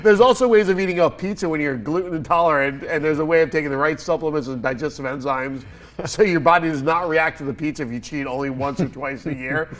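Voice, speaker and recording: A man hosting a casual studio interview, sounding congested and telling stories with lively energy and laughter. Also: a little high, fast. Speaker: podcast host.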